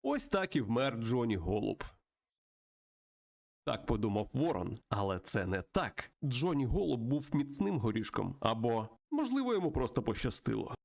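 A man playing a dramatic storyteller, reading western game narration aloud in a mock-epic, deliberate manner. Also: mid-range voice, very animated, vocal fry, darkish tone.

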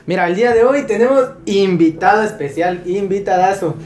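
A young man, hosting a casual podcast and tossing in quick jokey asides. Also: high voice, a little mellow. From Mexico. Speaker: podcast host. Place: small living room studio.

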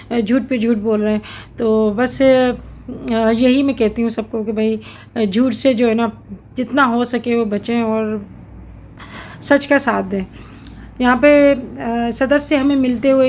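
A middle-aged woman talks through her home vlog in an earnest, reflective tone, often stopping to pause and gather her words. Her voice sits a touch high, and a faint noise runs underneath. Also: a little mellow. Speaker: cooking vlogger. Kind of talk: casual vlog voice-over.

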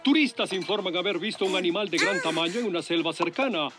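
A man voicing a brisk news announcer reading a bulletin in a children's cartoon. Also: sits high, natural voice. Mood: urgent. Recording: music behind the voice.

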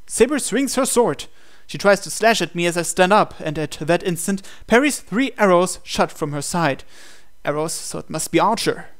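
Higher-voiced man dramatically narrating a story. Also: lively intonation, moderate pace. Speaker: let's-play reader of a visual novel. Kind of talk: dramatic first-person story narration read aloud.

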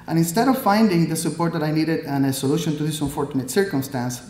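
A man's voice: a little high, darkish tone, a little hiss or hum. Puerto Rican man speaking in an echoey hall. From Puerto Rico. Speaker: Army pathologist, guest speaker. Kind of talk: prepared speech read from notes. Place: hall stage at a podium. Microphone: podium microphone.

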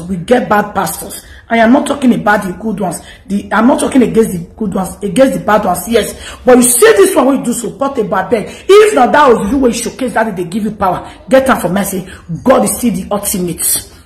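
A Nigerian woman in her thirties hosting a gossip commentary, talking to camera in an animated, scolding way. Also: mid-range voice, fairly quick, few pauses, roomy sound. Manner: animated, scolding, mixes pidgin and English.

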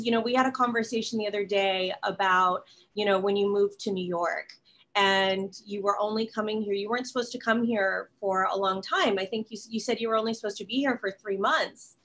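A woman's voice: mid-range voice, moderate pace, fairly bright tone. A young woman, a chef, hosting an interview over a video call from her kitchen, admiring and chatty with many fillers. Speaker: chef host. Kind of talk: casual interview. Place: home kitchen.

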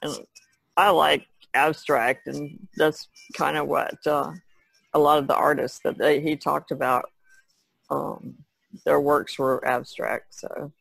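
A woman's voice: low voice, level tone, slow, gravelly, a bit bright, husky, dry recording, low-quality recording. A woman chatting casually on a podcast panel in a tentative, hedging manner. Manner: tentative, hedges with "sort of" and "kinda". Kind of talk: casual discussion.

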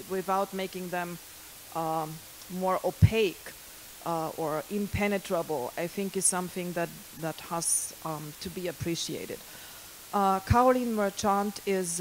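A middle-aged woman, lecturing at a university into a handheld mic, pausing often with fillers and restarts. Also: fairly low pitch, a little hiss or hum, low-quality recording. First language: German. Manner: hesitant, many fillers and restarts. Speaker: guest lecturer. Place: lecture hall.

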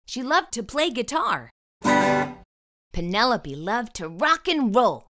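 A woman reading a children's storybook aloud in an expressive, deliberate storytelling manner. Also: on the high side, over loud music. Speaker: storybook read-aloud host.

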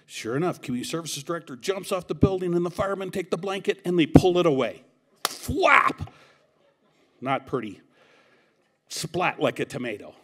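A man emceeing an awards event, telling a joke story at a podium mic in a banquet hall, with animated, shouted quoted lines. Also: a little high, normal speed, slightly rough.

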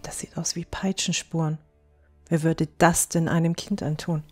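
Woman playing a kind young dwarf lady, lowish mellow voice, soft soothing manner, music behind. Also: little pitch movement, normal speed. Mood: shocked, sad. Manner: soothing, gentle. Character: gentle, tender-hearted young dwarf woman. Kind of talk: audio drama acting.

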